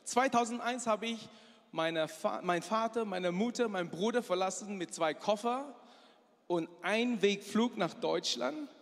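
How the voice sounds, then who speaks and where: A man gives a sermon full of personal stories. His voice sits high for a man. He moves in short, halting phrases, correcting himself, and long hall reverb trails his words. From Malaysia. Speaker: preacher. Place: large church hall, live before a congregation.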